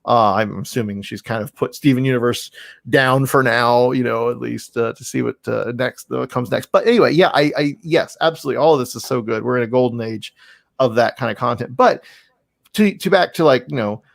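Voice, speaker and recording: Man, creaky voice, effusive podcast host, casual chat, rambling and emphatic, close dry sound. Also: medium pitch, fairly quick, no room sound. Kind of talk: casual, enthusiastic interview chat.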